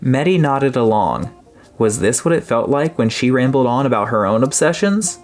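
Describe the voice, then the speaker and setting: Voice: medium pitch, lively intonation, normal speed, a little mellow. Casting: narrator for a scripted audiobook, mid-range voice, music underneath. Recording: music behind the voice.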